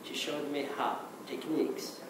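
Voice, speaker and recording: A man from Morocco whose first language is Arabic, speaking English with a Moroccan accent in a hesitant conference talk, restarting often and filling pauses with "okay". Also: medium pitch, steady tone. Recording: echoey hall, mic far off, noisy.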